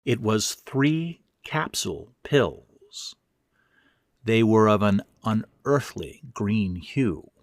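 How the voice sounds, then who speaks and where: A man's voice: on the low side, expressive, drawn-out words, dry recording, studio quality. A man reading his own horror fiction as a scripted, dramatic first-person narration.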